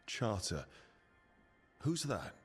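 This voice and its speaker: Easygoing male game hero, lowish voice, chatty and wry, asks stuff, music behind. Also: very animated, extremely slow, slightly rough, heavily acted. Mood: friendly. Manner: conversational, inquisitive, dryly playful. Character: easygoing, curious male adventurer from an assassin guild. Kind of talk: video game dialogue acting. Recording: music under the dialogue.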